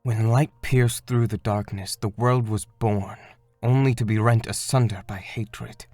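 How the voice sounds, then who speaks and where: A man playing a calm, earnest mentor of a spiritual order in an animated fandub, speaking in a measured, solemn way. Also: on the low side, dry recording, lightly acted. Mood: solemn storytelling.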